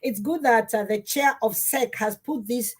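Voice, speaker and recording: Husky-voiced woman hosting a talk. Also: a little high, a little slow. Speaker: host. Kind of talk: live online panel talk.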